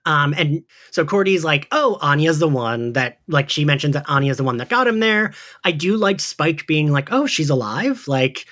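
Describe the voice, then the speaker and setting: A man's voice: a little high, animated, normal speed, few pauses, a bit bright. A man hosting a podcast, chatting casually and rambling through a pop-culture recap with slang and swearing. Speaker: podcast host.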